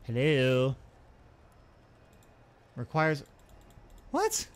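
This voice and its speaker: A man's voice: medium pitch, wildly swooping pitch, drawn-out words. A man gives casual let's-play commentary as a gaming host, thinking aloud and talking through each step.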